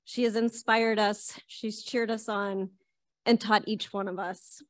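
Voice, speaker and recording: Woman, middle-aged, conference co-chair. Average pitch, earnest prepared tribute delivered into a podium mic. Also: flat delivery, a little slow. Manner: earnest, reading from notes. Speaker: conference co-chair introducing an honoree. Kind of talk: prepared heartfelt tribute speech. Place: conference hall stage at a podium, indoors. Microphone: podium gooseneck microphone.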